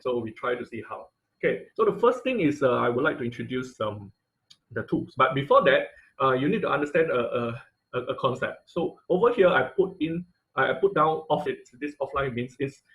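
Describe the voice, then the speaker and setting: A man giving an informal technical lecture as an online instructor, explaining haltingly with frequent repetition and non-native English phrasing. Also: medium pitch, rough recording. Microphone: online video call.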